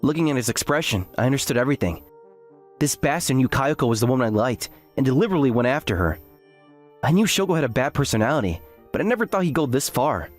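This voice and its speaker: The hapless, aggrieved student hero narrating an animated campus tale, acted by a man, voice rising and falling over quiet music. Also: mid-range voice, fast. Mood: despairing. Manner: aggrieved, self-deprecating. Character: earnest, hapless male graduate student telling his story. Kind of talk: first-person scripted narration with acted dialogue.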